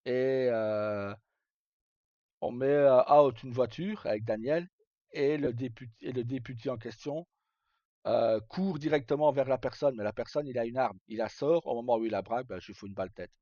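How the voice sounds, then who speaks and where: Man, mellow voice, rambling and hesitant. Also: mid-range voice, moderate pace, no room sound, lo-fi sound. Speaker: streamer. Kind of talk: casual live chat, recounting roleplay stories.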